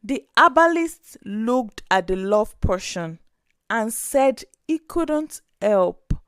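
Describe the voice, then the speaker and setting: A woman narrating a scripted story in an expressive, dramatic way. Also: on the high side, takes it slowly, smooth, no echo, studio quality.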